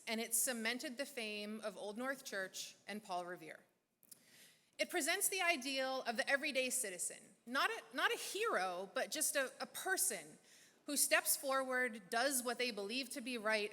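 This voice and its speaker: Female emcee, bright and forceful, reads a prepared speech at a measured pace. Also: fairly high pitch. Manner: measured, deliberate pauses. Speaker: event host. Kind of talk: scripted speech at a gala. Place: large church hall. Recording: long reverb of a large hall.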